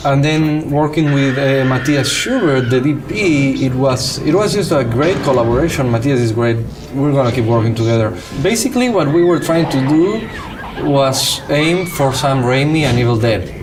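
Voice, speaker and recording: A man's voice: medium pitch, lively intonation, normal speed. Cuban-accented man chatting, music behind him. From Cuba. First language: Spanish. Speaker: film director being interviewed. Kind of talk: casual interview chat.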